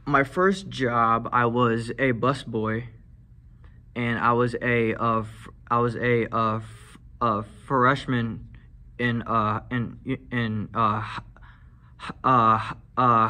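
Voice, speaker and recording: A young man with a stutter, talking casually in a personal vlog, soft-spoken with many fillers, into a small handheld mic in a classroom. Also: medium pitch. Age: young adult. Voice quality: stutters, with blocks and repeated sounds. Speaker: vlogger. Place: indoor classroom. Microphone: handheld lavalier mic held near mouth.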